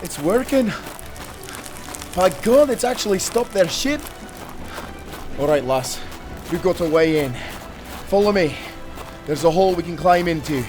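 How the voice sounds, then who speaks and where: A man's voice: high-pitched, lively intonation, measured pace, pauses often, music in the background. An actor playing a loyal young male sailor, urgent and caring, speaking earnestly to a companion in an audio adventure. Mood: awed, excited. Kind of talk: cinematic audio roleplay acting.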